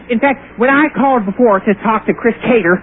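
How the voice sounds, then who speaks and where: A man's voice: very high-pitched, brisk. A man calling in to a radio show, ranting and arguing in an indignant, self-righteous, preachy manner. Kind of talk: argumentative phone-in ranting. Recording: tinny phone line with hiss.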